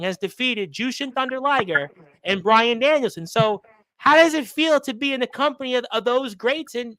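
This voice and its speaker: A man's voice: very high voice, bright, forceful tone, no room sound, poor audio. A man hosting a wrestling talk show and interviewing a guest, rambling and effusive, often repeating himself. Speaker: radio show host.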